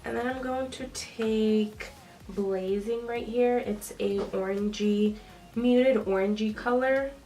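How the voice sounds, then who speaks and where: A woman's voice: a little high, fairly even intonation, takes it slowly, dark tone, faint music behind. A young woman vlogger with a stuffy, nasal voice from a cold, chatting casually with lots of ums as she does her makeup. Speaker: beauty vlogger. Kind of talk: casual makeup tutorial talk. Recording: slightly distant with faint noise.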